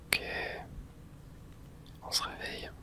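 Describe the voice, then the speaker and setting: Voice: slow pace, bright, forceful tone. A person whispering breathily while narrating their own video game play in an ASMR style, murmuring reactions and mild swearing.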